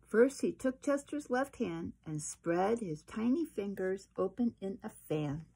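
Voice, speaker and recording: Slow, creaky-voiced middle-aged woman reading aloud. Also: mid-range voice, lively intonation, mellow. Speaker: storybook reader. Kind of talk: reading a picture book aloud to children. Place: small wood-panelled room indoors.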